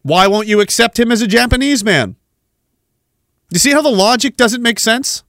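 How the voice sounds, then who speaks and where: A man's voice: very high voice, animated, moderate pace, fairly bright tone, no echo, studio quality. A Canadian man hosting a podcast, ranting sarcastically with rhetorical questions while reacting to a clip. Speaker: podcast host. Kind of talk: ranting commentary over a played clip.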